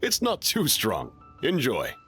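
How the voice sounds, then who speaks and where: A man's voice: mid-range voice, huge pitch swings, slow pace, pushed and bright, faint music behind, full character voice. A man playing a busy, mild-mannered restaurant chef in a video game, with a friendly, service-minded manner. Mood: obliging.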